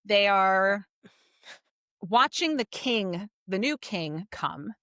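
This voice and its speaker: A woman's voice: medium pitch, lively intonation, very slow, bright, forceful tone, no room sound, clean studio sound. A woman co-hosting a podcast, explaining things in a casual, conversational book discussion. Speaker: podcast co-host.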